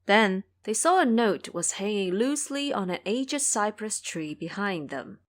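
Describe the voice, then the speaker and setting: A woman narrating an audio drama in an expressive storytelling style. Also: mid-range voice, measured pace.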